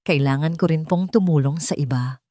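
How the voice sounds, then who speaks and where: A woman's voice: deep voice, normal speed, warm tone, dry recording, natural voice. A woman with a Filipino accent voicing an earnest, grateful young female student who speaks politely and from the heart.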